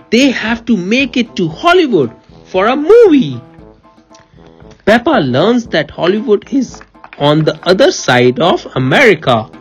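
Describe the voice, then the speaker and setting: A woman reading a children's picture book aloud in an expressive, playful, teacherly way, pausing to put questions to a child. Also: on the low side. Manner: expressive, playful, teacherly, repeats answers and prompts. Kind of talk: animated read-aloud storytelling with questions to a child.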